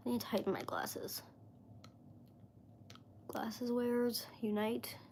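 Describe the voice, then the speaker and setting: A woman's voice: fairly high pitch, steady tone, slow pace, darkish tone, slight background noise. A woman in her mid-thirties chatting casually on a live stream, rambling with lots of fillers as she talks to viewers. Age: 30s.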